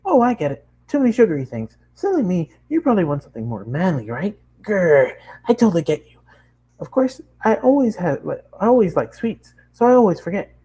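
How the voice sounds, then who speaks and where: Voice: mid-range voice, sing-song, brisk, dark tone, husky, pitched above the performer's own voice. A narrator voicing a bubbly, hyperactive young female pony baker who gushes and chatters nonstop in a cartoon story. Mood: cheerful, gushing. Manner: gushing, squealing, breathless rambling chatter.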